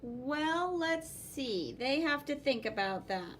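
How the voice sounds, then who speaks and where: A woman reading a picture book aloud to children as a teacher, expressive and exclaiming, with spoken sound effects and commentary. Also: sits high, slow, slight background noise.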